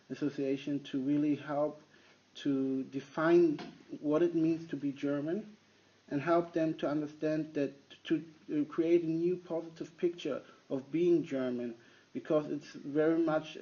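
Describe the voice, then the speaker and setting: A man who works as a youth pastor, answering at length in a halting way with frequent restarts. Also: on the high side, dark tone. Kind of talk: conversational interview answer. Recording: dull lo-fi recording.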